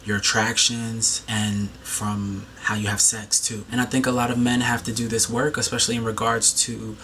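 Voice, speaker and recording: Bright-voiced man in his thirties, earnest. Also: fairly low pitch, flat delivery, moderate pace, a little hiss or hum, low-quality recording. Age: 30s. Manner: earnest, rambling, heavy use of "like". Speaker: video host. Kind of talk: reflective monologue to camera.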